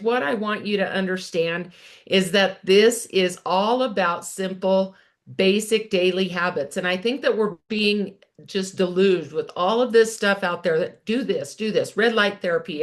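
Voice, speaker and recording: A woman in her sixties, a wellness coach, talking casually and encouragingly to viewers, chatty with frequent restarts. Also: mid-range voice. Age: 60s. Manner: upbeat and encouraging, addresses viewers as "you guys". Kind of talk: casual direct-to-camera welcome talk.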